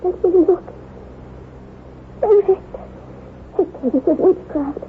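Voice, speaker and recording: High-voiced haunted young bride. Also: female voice, slow. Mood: terrified, tearful. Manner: formal, intense, dramatic. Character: fragile, melancholy young noblewoman bride, haunted and fearful. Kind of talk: old-time radio drama acting. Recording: noisy, narrow-band vintage radio broadcast.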